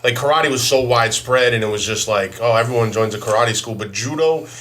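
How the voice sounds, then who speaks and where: A man's voice: medium pitch, a little fast, fairly bright tone. A man hosting a podcast interview, talking loosely with lots of swearing and restarts. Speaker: podcast host. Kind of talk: casual interview chat.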